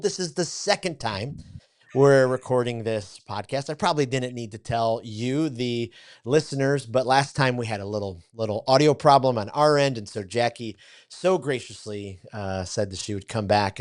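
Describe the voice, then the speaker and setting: A man's voice: medium pitch, no room sound, studio quality. Upbeat man hosting a podcast. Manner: effusive, repeats words when restarting. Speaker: podcast host. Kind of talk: enthusiastic podcast interview.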